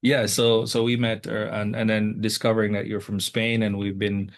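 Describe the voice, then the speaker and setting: A man hosting an interview, speaking haltingly with many fillers and repeated words. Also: on the low side, level tone. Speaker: host. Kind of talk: casual interview.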